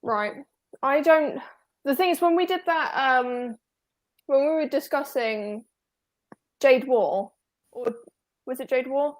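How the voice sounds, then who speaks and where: A woman's voice: high-pitched, slow pace, long pauses. Chatty young woman hosting a book club. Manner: chatty, rambling with many fillers. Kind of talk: casual video call chat.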